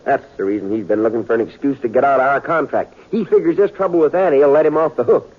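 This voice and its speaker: An actor playing a folksy, fretful small-town mayor in an old radio western, talking in blustery, persuasive rustic dialect. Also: male voice, medium pitch, fast talker, lightly acted. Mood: folksy, grumbling. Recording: tinny classic radio recording.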